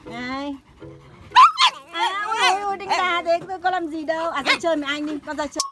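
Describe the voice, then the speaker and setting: A woman's voice: extremely high pitch, quick pace, loud music behind the voice. A woman chatting casually outdoors and talking to her dog dotingly, like a child. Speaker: dog owner filming a vlog. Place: outdoors at a campsite.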